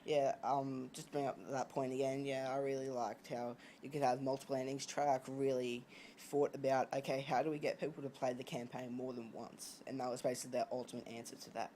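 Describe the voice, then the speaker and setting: Male host, casual gaming chat, medium pitch, slightly noisy home recording. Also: little pitch movement, fairly quick, few pauses, dark tone.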